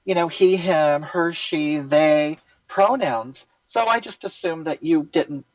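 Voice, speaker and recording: A performer doing an earnest activist woman who stumbles over her own sentences, stammering and jumping in pitch before settling back down. Her voice arrives muffled and lo-fi, like remote call audio, at a middling pitch. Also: natural voice. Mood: earnest explaining. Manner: halting, repeats words, jumps high in pitch. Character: self-styled female social justice activist, earnest and rambling. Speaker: guest being interviewed. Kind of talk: satirical interview answers.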